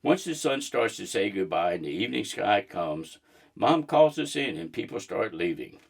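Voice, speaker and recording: A man reading a children's book aloud in an expressive storybook narration style. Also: fairly low pitch, normal speed, gravelly, breathy edge.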